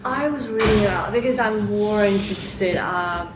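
A woman from China with a mixed American, Chinese and British accent, talking haltingly in a casual group discussion. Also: medium pitch. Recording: echoey room, clear background noise.

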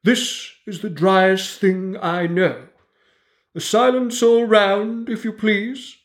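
A woman's voice: medium pitch, fairly even intonation, slow, pitched above the performer's own voice, natural voice. A woman voicing a prim, bossy female mouse who lectures a group in a pompous, schoolmarmish way.

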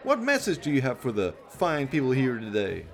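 A man voicing a gushing, excitable male television news reporter in a radio play, full of exclaiming showmanship. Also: medium pitch, moderate pace, voice pushed up. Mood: eager, probing.

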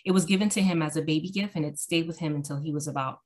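A woman's voice: low-pitched, very fast. A middle-aged woman from Miami, a guest author, chatting casually from home with easy laughter and frequent fillers. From Miami, Florida. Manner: relaxed, laughing, hesitant fillers. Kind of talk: casual interview. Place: home room.

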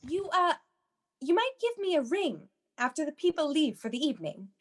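A woman's voice: high-pitched, big pitch swings, normal speed. A woman playing a prim, fussy babysitting agency dispatcher who reassures people, in a comedic radio play. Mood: reassuring.

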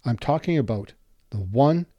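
A man hosting a history podcast, reading a measured intro then asking questions. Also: mid-range voice, lively intonation, no echo, dull audio. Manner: measured, reads intro then brief acknowledgements. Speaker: podcast host. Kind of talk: scripted intro then interview.

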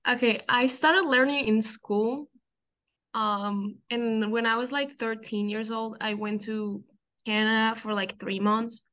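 A woman's voice: a little high, fairly even intonation, unhurried, fairly bright tone. A young woman from Colombia with a Colombian Spanish accent, Spanish being her first language, speaking as an interview guest in a hesitant way with lots of "like" fillers. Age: young adult. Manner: hesitant, many "like" fillers, laughs often. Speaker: college diver, guest. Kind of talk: casual interview chat.

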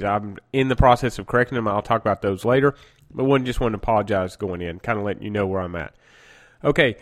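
A man with a cold and a stuffy nose, hosting a gaming podcast in a chatty, rambling way and often correcting himself. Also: on the low side. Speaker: podcast host. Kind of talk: casual gaming podcast monologue.

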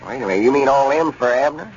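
An actor playing a folksy, self-satisfied older country schemer with a drawling rural Southern accent in a 1930s radio comedy. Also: male voice, mid-range voice, animated, fast talker, close to an everyday voice. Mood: insistent. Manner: drawling, rustic. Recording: muffled, hissy 1930s radio recording.

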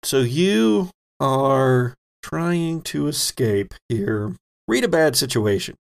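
A man narrating a tabletop roleplaying game as game master, halting as he builds dramatic descriptions. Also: mid-range voice, very animated, creaky.

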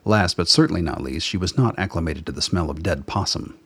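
A man narrates a radio drama from a script. His voice is low and deep, with a husky, breath-roughened grain. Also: brisk, a bit bright, no room sound.

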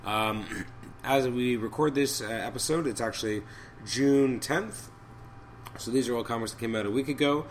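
A man hosting a podcast of off-the-cuff reviews, his voice a little hoarse and raspy from a cold, thinking aloud in a halting way. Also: mid-range voice, slight background noise. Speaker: podcast host. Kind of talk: unscripted comic book review commentary.

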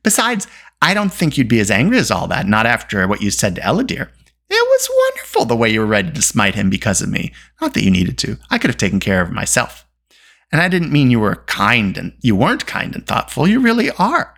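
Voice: medium pitch, huge pitch swings, fairly quick, fairly bright tone, full character voice. A storyteller voicing an outspoken, tart-tongued teenage princess who teases and scolds with exclamations. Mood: teasing. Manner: exclaiming, teasing, indignant.

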